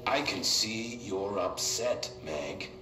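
A man's voice: fairly low pitch, expressive, slow pace, bright, forceful tone, soft background music, full character voice. A man playing an avuncular puppet-show host uncle, explaining things to a child in a patronizing singsong. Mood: patronizing cheer. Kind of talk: children's educational TV show acting. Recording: echoey room with faint noise.

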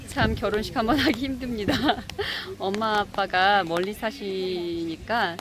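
A woman's voice: medium pitch, background noise. A woman narrating a wedding in a casual, chatty way, laughing often. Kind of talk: casual voice-over commentary. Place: outdoors at a wedding in Kenya. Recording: with music playing behind.

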